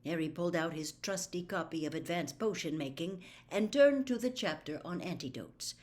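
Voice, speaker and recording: Medium-pitched woman narrating a story. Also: a little mellow. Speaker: audiobook reader. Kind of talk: expressive scripted story narration.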